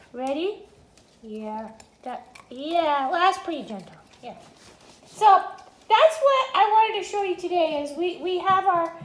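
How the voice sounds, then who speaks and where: A cheerful little female dog, a food-loving beggar, chatters away in a very high, childlike sing-song voice, as a woman puts her on. She rambles like a homemade news host, and her voice rings around a roomy home kitchen. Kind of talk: pet vlog voiced as the dog.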